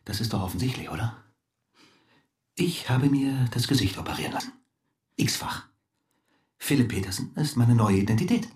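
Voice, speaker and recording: An actor playing a calm, menacing impostor husband in a radio drama, gravelly and quietly controlled. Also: male voice, medium pitch, moderate pace, long pauses, natural voice. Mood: mocking, menacing. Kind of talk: German radio drama acting.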